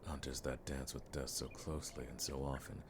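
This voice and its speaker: A seasoned monster hunter played by a man speaks in an extremely low, gravelly voice that stays fairly flat. He is measured, wry and world-weary, yet friendly, in the style of a fantasy audio roleplay. Clear background ambience sits behind him. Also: background noise. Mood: relaxed, wry. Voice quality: very deep, gravelly. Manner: measured, wry, old-fashioned turns of phrase.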